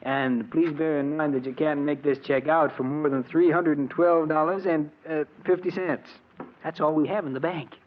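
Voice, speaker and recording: A man playing a soft-spoken, self-justifying murderer in 1940s radio drama, speaking in a measured, polite, earnest way. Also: on the high side, steady tone, lightly acted. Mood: playful, affectionate. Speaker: actor playing narrator and lead character. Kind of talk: 1940s radio drama acting, confessional narration. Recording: dull, noisy old radio recording.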